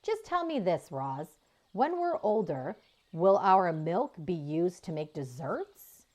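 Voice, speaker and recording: A woman's voice: lowish voice, very animated, slow pace, darkish tone, heavily acted. A woman voicing a curious young female calf in a children's story, earnest and sweet. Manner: earnest, inquisitive.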